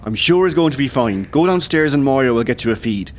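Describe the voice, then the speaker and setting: Man acting a steady rebel officer, faint rumble. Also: fast, close to an everyday voice. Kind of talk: audio play acting.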